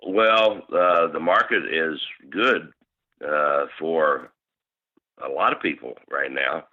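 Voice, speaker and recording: A man's voice: rumbling low, slow pace. A man answering interview questions as a club management consultant, explaining steadily with many fillers. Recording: tinny phone line.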